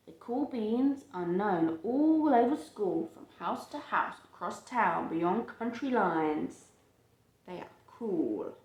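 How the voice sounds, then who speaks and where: A woman reading a picture book aloud to a child and chatting about it, with expressive, drawn-out emphasis on phrases. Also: mid-range voice. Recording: roomy, echoey home recording.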